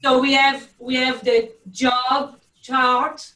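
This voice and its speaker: Slow woman with Hebrew accent, echoey. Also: high-pitched, flat delivery, pushed and bright. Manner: halting, searching for words. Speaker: preschool teacher taking part. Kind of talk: video call discussion. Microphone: video call, distant from the mic. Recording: echoey room, far from mic.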